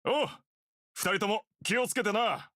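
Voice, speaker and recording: An actor playing an easygoing, gently chiding middle-aged father in a visual novel. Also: high voice, fast talker, no echo, clean studio sound. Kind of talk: Japanese visual novel voice acting.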